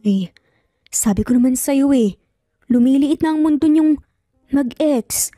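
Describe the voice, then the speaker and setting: A woman's voice: sits high, unhurried, dark tone, dry recording, clean studio sound. A woman voicing a concerned, inquisitive older sister in a romance story, probing and knowing, over music. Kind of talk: Tagalog romance drama storytelling. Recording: music bed under the voice.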